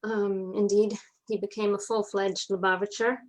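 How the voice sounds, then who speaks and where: A woman's voice: medium pitch, level tone, moderate pace. A woman with a North American accent, speaking as an interview guest and recounting family history in a conversational way. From North America (New York, Atlanta, Montreal). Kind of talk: personal family storytelling in conversation.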